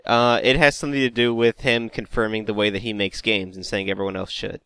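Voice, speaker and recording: A man's voice: on the low side, level tone, fairly quick, vocal fry, fairly bright tone, smooth, no room sound. A man hosting a casual podcast chat, reading news items with wry humor. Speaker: podcast host.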